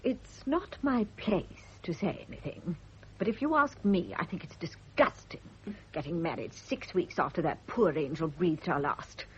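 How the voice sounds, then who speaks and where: An actress playing a bitter, righteous, suspicious nurse, indignant and insinuating, in a radio drama. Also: female voice, lowish voice, expressive, moderate pace, a little hiss or hum, close to an everyday voice. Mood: scornful indignation. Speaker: private nurse. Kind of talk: 1970s radio drama acting. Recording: dull lo-fi old radio recording.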